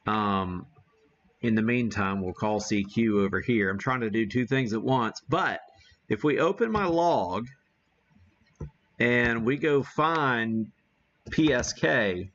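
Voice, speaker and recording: A man giving a casual live demo as an amateur radio streamer, talking haltingly as he thinks aloud while working the gear. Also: medium pitch, a bit bright, dull audio.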